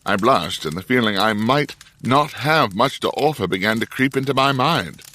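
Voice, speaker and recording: A thoughtful Victorian doctor with a mid-pitched, expressively varied voice. He is measured, dry and reflective, like someone narrating his own memoir in an audio drama. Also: expressive, sharp tone. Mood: rueful narration. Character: thoughtful, self-doubting Victorian doctor, the detective's companion. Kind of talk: first-person narration and audio drama dialogue.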